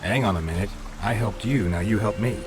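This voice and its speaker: An actor playing a gruff, stoic monster hunter in a video game, speaking tersely and deadpan. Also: male voice, lowish voice, brisk, background noise, close to an everyday voice. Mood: terse, businesslike.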